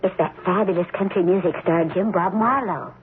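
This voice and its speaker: An actress playing a devoted, modest and starstruck politician's wife in a radio drama. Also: female voice, on the low side, fairly quick, a little hiss or hum, natural voice. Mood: bright, excited. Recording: tinny, phone-like old radio recording.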